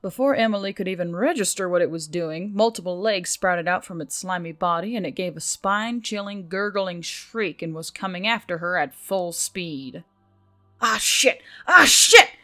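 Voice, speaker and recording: A woman's voice: fairly low pitch, normal speed, hardly any pauses. A woman reading scripted story narration as the narrator, with music behind her.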